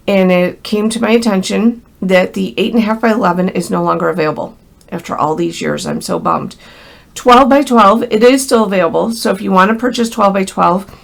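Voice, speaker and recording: A woman's voice: medium pitch, vocal fry. An American woman with a crackly voice from a cold gives a casual, chatty hands-on craft tutorial, speaking directly and in a friendly way to viewers. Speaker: craft instructor.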